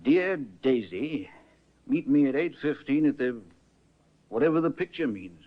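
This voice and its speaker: An actor playing a dutiful, matter-of-fact police sergeant in an old radio drama. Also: male voice, mid-range voice, lively intonation, a little slow, lightly acted. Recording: muffled old radio broadcast.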